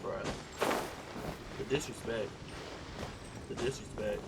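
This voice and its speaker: A man's voice: lowish voice, takes it slowly, vocal fry, heavy background noise. An American man giving casual, colloquial game commentary with incredulous banter. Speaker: gaming reaction YouTuber.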